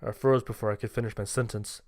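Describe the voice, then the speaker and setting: A man's voice: fairly low pitch, steady tone, fast talker, darkish tone, no room sound, studio quality. A man reading a scripted first-person horror story as its narrator.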